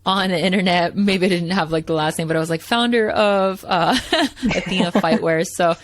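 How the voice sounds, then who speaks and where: Chatty low-voiced woman podcast host. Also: normal speed, slight background noise. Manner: upbeat, chatty, lots of fillers like "like" and "right". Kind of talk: casual podcast interview.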